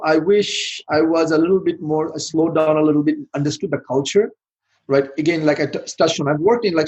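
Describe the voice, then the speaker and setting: A man's voice: on the high side, darkish tone, rough recording. A middle-aged man from Bangladesh answering interview questions in a loose, rambling way, full of "you know" and restarts. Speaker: podcast guest, IT manager and founder.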